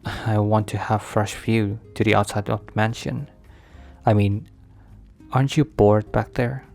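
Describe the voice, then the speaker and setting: A guarded but softening mafia boss , played by a man in an intimate ASMR roleplay. His voice is low and full of gravelly fry, confiding and slow, turning breathy at times. Soft music plays behind him. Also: steady tone. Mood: relaxed, teasing.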